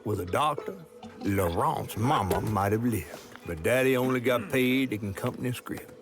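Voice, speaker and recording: Guy acting gravelly southern storyteller man, sad solemn game folktale narration, really lively pitch, music behind. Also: normal speed, close to an everyday voice. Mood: solemn, sorrowful. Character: male storyteller of sorrowful Deep South folktale.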